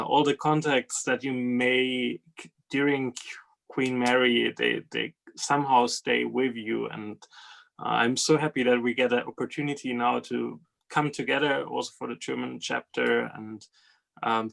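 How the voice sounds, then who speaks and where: A man in his thirties, a former student, speaking in an upbeat, slightly halting way on a video call. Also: mid-range voice, creaky, a bit bright. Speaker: alumnus.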